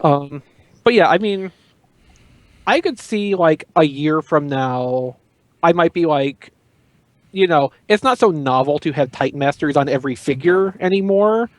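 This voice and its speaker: A man's voice: a little high, lively intonation, a little slow, no echo. A man chatting casually as a podcast panelist in an offhand, informal way. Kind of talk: casual chat.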